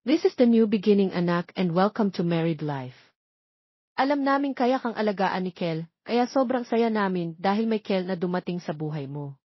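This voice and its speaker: A woman's voice: medium pitch, expressive, normal speed, slightly rough, no echo, highs cut off. A woman with a Filipino accent voicing a bride's proud, loving mother in an audio story. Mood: proud, tender.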